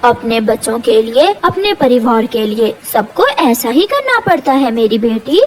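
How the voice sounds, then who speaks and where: A woman's voice: fairly high pitch, big pitch swings, unhurried, a crowd in the background, low-quality recording. A woman voicing a devoted, anxious father bird in a cartoon, earnest and tender.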